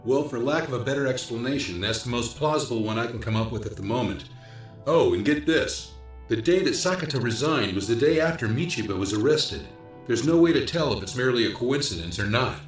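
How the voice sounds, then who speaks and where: A man playing a diligent special-ops investigator, explaining findings in a measured briefing tone in a video game. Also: mid-range voice, animated, echoey room, soft background music, natural voice, poor audio. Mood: informative. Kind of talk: English dub acting in a video game cutscene.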